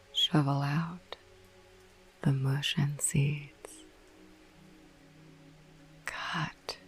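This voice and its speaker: A woman narrating a bedtime ASMR podcast in a hushed, breathy whisper, soothing and unhurried. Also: deep voice. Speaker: host of a sleep podcast.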